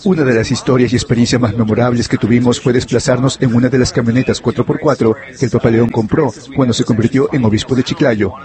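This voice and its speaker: A man giving a voice-over interpretation of an interview, relaying it in a steady, fluent way. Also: medium pitch.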